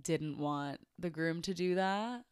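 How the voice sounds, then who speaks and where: A young woman co-hosting a chat show with a stuffed-up, congested nose. Her voice sits a little low and stays smooth. She talks in an opinionated, chatty way, recorded dry and close. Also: slow pace, no room sound. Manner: chatty, opinionated, laughs often. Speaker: podcast co-host. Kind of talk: casual chat.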